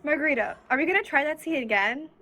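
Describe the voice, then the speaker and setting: Impatient supporting actress played by a woman, forceful high voice, complaining, 1940s radio play. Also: animated, fast, exaggerated voice.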